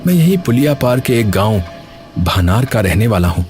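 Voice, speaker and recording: A man voicing a humble, sincere young villager in an animated story, speaking in an earnest, matter-of-fact way. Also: lowish voice, moderate pace, faint music behind. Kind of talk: Hindi animated story dialogue.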